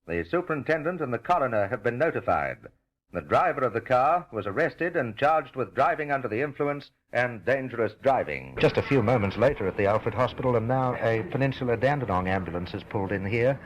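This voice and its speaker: A man's voice: mid-range voice, highs cut off. A man with an Australian accent, working as a radio news reporter, asking questions on the scene and reading reports. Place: on location, at venues and outside a hospital. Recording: noisy old radio recording on location.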